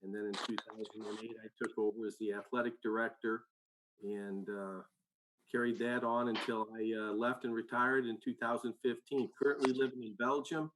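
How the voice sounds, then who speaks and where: Older retired coach guy, relaxed, mid pitch, trips over a word now and then. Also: moderate pace, a little hiss or hum, poor audio. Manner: relaxed, stumbles on repeats. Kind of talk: casual self-introduction.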